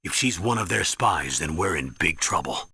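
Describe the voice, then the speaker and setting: A troubled older colonel in a video game. His voice is low and extremely raspy, creaking with gravel. He comes across as gruff, evasive and defensive. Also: animated, normal speed, pushed and bright, no echo, studio quality, full character voice. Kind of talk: dramatic video game voice acting.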